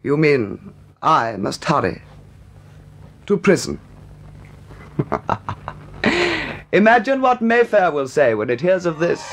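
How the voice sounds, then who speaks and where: A man playing a vain English gentleman dandy in a period radio drama, with a haughty, mannered and hesitant delivery. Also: fairly high pitch, big pitch swings, slow pace, pauses often, full character voice. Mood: resigned hauteur. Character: vain, leisurely English gentleman dandy, indignant yet wistful.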